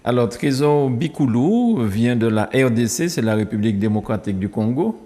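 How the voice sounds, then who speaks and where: A man hosting an interview, asking questions slowly with some hesitation. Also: medium pitch, sing-song, warm tone. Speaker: interviewer host. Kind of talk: conversational interview. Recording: long hall reverb.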